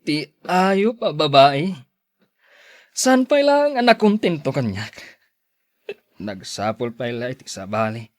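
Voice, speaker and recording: A man's voice: fairly high pitch, very animated, unhurried, long pauses, no room sound, studio quality. An actor with a Filipino accent playing a lovesick, heartbroken man who drinks, with an emotional, pleading delivery in radio drama style. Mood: hurt, bitter.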